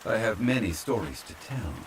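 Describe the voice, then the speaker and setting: A man playing a calm, courtly ancient former god from a fantasy game, speaking formally and with measured politeness in an ASMR roleplay. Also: on the low side, a little hiss or hum, close to an everyday voice, low-quality recording. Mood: calm, courteous. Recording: steady rain sound over the voice. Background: rain falling.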